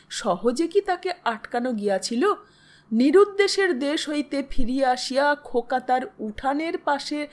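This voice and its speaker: A woman reading a literary story in a measured, expressive, dramatic narrating style. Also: high-pitched. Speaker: host and narrator of audio stories.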